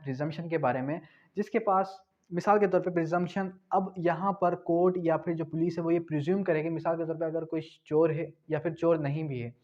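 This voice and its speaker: Man, law tutor, rhetorical questions, fairly high voice, dull lo-fi sound. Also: darkish tone. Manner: explains with rhetorical questions and repetition. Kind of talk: exam-prep law lecture. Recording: dull lo-fi recording.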